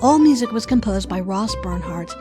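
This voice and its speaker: A woman's voice: medium pitch, lively intonation, normal speed, over music. A woman reading a scripted outro as a podcast host.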